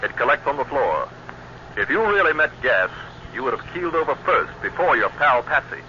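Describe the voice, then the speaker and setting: An actor playing a brisk, observant small-town doctor who questions in a crisp, measured way, in old radio drama style. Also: male voice, medium pitch, very animated, sharp tone, dull audio, a little hiss or hum. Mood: firm, deductive. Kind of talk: 1940s radio drama acting.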